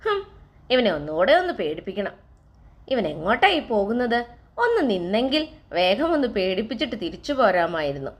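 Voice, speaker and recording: A woman's voice: mid-range voice, sing-song, normal speed, natural voice. A woman voicing a foolish, boastful male donkey in a children's storybook tale, talking in a scoffing, cocky way. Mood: smug.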